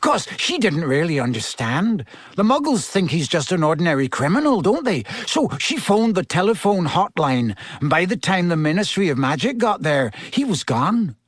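A man voicing an excitable, gossipy schoolboy in a storybook, eager and chatty. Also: high-pitched, moderate pace, few pauses, no room sound, voice pushed up. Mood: excited gossip.

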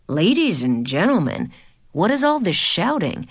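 A bored, weary French dance teacher scolds his pupils in a formal, tired way, as a woman reading the storybook puts him on. The voice sits deep and low, dry and close. Each word lands with drawn-out fatigue. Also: sing-song, breathy edge, dry recording, exaggerated voice. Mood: stern.

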